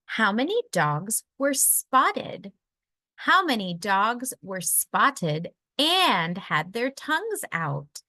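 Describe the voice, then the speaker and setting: Bright, lively woman reading to kids. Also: medium pitch, no echo, clean studio sound. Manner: deliberate, expressive storytelling for young children. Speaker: children's book reader. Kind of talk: read-aloud of a children's picture book.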